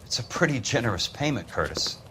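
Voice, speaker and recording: A man's voice: mid-range voice, very animated, fairly quick, bright, forceful tone, slight background noise. A man acting a dogged, tough but polite insurance investigator in a crime game, with an American accent and a terse, wry delivery. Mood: polite, insistent.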